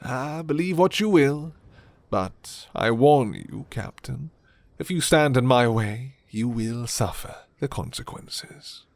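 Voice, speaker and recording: A man voicing a proud vampire lord in a dramatic roleplay, courtly, measured and threatening. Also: medium pitch, animated, gravelly. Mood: menacing, amused. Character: proud, menacing, smooth-talking male vampire lord.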